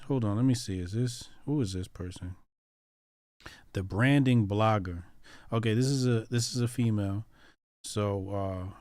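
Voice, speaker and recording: A man's voice: on the low side, a little mellow, no echo, clean studio sound. Teasing man hosting a chat room. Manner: playful, teasing, loose slangy talk. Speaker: host of a live audio chat room. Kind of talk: casual live chat hosting.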